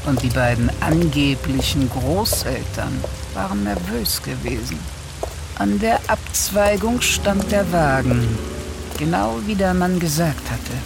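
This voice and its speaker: A man's voice: a little high, creaky. A man narrating an audio drama from a script.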